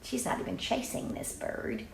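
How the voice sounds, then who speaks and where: A middle-aged woman reading a picture book aloud to children, expressive and exclaiming, with chatty conversational asides. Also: moderate pace, slight background noise. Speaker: children's storyteller and drama teacher. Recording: roomy, echoey sound.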